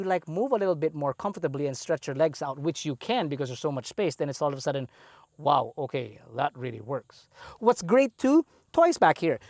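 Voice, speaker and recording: A man's voice: high voice, no room sound, studio quality. A man with a Filipino English accent hosting a car review walkaround, chatty and playful with enthusiastic asides.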